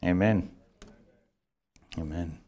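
A man with a croaky voice leading a congregation in earnest prayer and teaching. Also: low-pitched, drawn-out words, dark tone. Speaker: congregation leader.

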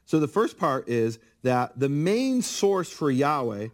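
A middle-aged man speaking as a guest, explaining biblical history in a lively, conversational way and acting out quotes. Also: on the high side, takes it slowly. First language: English. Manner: animated, acts out quoted speakers. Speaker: guest scholar of biblical Hebrew. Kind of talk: conversational interview, lecturing.

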